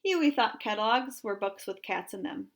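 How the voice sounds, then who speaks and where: A woman's voice: medium pitch, lively intonation, moderate pace. A woman reading a children's story aloud in a narrating style. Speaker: storybook reader.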